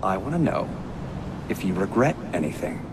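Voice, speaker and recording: An actor playing a blunt, unsettling questioner in a video game, speaking in a deliberate, probing manner. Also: male voice, sits low, big pitch swings. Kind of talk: video game drama dialogue. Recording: noisy game ambience.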